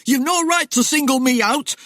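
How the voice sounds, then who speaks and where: A storybook villager, a guilty and frightened man full of bluster. The voice is pitched up into a squeaky croak and bellows in rough country dialect. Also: moderate pace, a bit bright, no room sound, clean studio sound. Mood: terrified, pleading. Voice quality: squeaky croak when afraid, high-pitched. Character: frightened, blustering rustic murderer husband.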